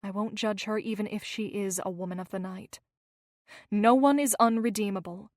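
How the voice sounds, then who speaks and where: A woman voicing a compassionate young woman who speaks gently and reassuringly. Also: medium pitch, flat delivery, fairly quick, no echo, clean studio sound, lightly acted. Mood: firm.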